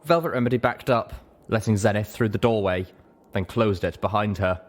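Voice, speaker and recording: A man's voice: on the low side, normal speed. A man reading scripted fiction aloud as a dramatic audiobook narrator. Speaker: audiobook reader.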